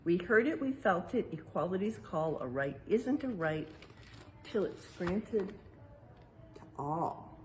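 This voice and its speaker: Female educator, American, slightly low voice. Picture-book read-aloud with simple explanatory asides, background noise. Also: expressive, slow, a little mellow. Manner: simple, explanatory, addressing young listeners.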